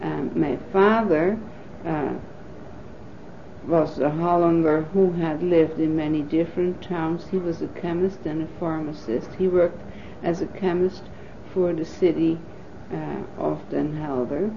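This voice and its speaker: A woman in her fifties from the Netherlands, a native Dutch speaker, recalling her life in an oral history interview with thoughtful pauses and fillers. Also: deep voice, steady tone, gravelly, slight background noise. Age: 50s. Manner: thoughtful, pausing with frequent fillers. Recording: dull lo-fi 1980s tape recording.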